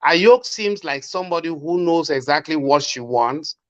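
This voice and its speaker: Panel guest, man, Cameroonian Pidgin accent, pitched a little high, forceful and argumentative, muffled lo-fi audio. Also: expressive, normal speed. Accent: Cameroonian Pidgin English. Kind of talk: heated panel discussion.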